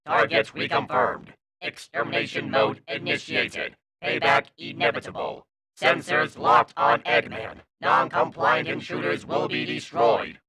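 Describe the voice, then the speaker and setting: A man's voice: fairly low pitch, measured pace, creaky, hardly any pauses, bright, forceful tone, voice pushed down, full character voice, rough recording. A man voicing a cold combat robot with a clipped, mechanical, commanding delivery. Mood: cold, threatening. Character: cold, relentless male-voiced combat robot.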